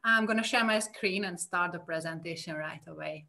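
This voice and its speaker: Woman astrophysicist, thirties, from Hungary, bright clear voice, friendly explainer, video call. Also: on the low side, moderate pace. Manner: friendly, explanatory, points things out on slides. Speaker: astrophysicist guest speaker. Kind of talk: public science talk. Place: home room.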